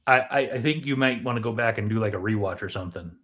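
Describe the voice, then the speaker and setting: A man's voice: fairly low pitch, fast, fairly bright tone. A man co-hosting a movie discussion show, talking casually and explaining his points to his co-host. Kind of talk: casual film theory discussion.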